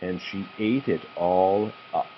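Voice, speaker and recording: A man reading a children's story aloud in an animated style. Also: low-pitched, takes it slowly. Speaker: storybook reader. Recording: dull home recording, faint noise.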